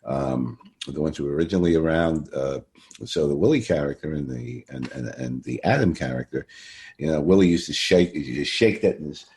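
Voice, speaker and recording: A man hosting a casual, chatty interview in an effusive way, repeating phrases for emphasis, with a gravelly voice. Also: rumbling low, expressive, brisk, darkish tone. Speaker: interview host.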